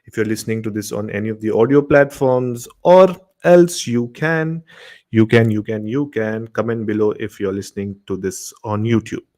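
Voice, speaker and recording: A man's voice: on the low side, normal speed, darkish tone. A man with an Indian accent informally hosting a horror show and telling stories, often repeating words and phrases. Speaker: host of a horror radio show.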